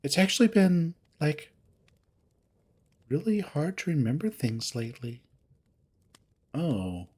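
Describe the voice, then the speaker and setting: A man voicing a young female cat character in a story, forgetful, sheepish and evasive, with a halting, babyish way of talking. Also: a little high, sing-song, a little mellow, breathy edge, full character voice. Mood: vague, defensive. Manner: halting, filler-heavy, babyish.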